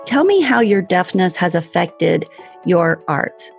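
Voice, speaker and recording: A woman's voice: on the low side, animated, takes it slowly, gravelly, over music. A woman hosting a podcast, asking questions in an enthusiastic, encouraging way. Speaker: podcast host. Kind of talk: interview hosting.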